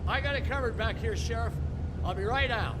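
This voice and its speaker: An actor playing a cowardly, evasive butcher who stammers apologetically in a dramatic video game. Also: male voice, very high voice, brisk, heavy background noise. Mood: flustered, apologetic. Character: nervous, cowardly male butcher shop owner, evasive and pleading.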